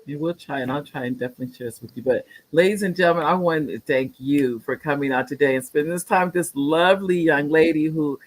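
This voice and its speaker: A woman's voice: low-pitched, quick pace, few pauses. A woman hosting a talk show, praising her guest effusively and talking in loose, rambling restarts. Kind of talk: casual interview chat.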